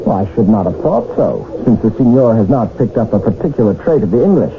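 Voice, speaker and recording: A mid-pitched, very animated breezy gentleman traveller in a period radio play. He is florid and teasing, with mock courtesy in his theatrical delivery. The recording is tinny, with clear noise. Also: fast talker. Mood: mock-courteous. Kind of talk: period radio drama acting. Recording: noisy tinny old radio broadcast.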